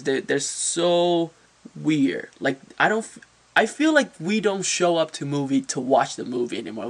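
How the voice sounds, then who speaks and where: A man's voice: fairly high pitch, a bit bright, husky. A man giving a casual, opinionated movie review as a channel host, talking informally and often repeating words as he searches for phrasing.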